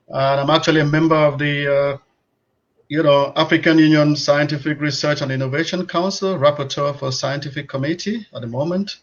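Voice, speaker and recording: A man, a public health professor, introducing himself on a panel in a measured way with frequent hesitations. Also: fairly high pitch, dull audio. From Cameroon.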